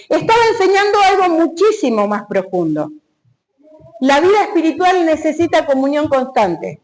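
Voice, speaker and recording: A woman's voice: high-pitched, darkish tone, poor audio. A woman preaching a sermon, emphatic, and putting questions straight to the congregation.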